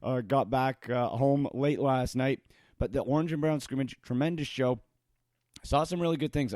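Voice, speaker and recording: Raspy, excited man hosting a sports podcast. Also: medium pitch, dry recording. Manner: enthusiastic, talks fast with fillers. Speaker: podcast host. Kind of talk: casual sports chat.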